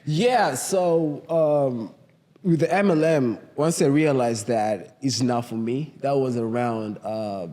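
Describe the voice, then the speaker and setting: A man in his thirties with a Kenyan accent, a guest telling his story in a relaxed, casual way and often saying "you know what I mean". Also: medium pitch, slow, big-room sound. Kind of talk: casual interview chat.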